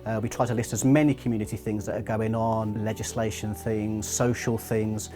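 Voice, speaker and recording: A man's voice: lowish voice, little pitch movement, moderate pace, music in the background. A man giving practical information as a charity representative in an interview style.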